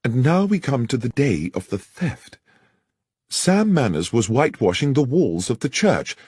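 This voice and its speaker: Man acting an earnest, anxious young clergyman, lively intonation. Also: mid-range voice, unhurried, breathy edge, no echo, studio quality. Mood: earnest, careful. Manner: formal, careful, wordy. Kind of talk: dramatized audiobook character dialogue.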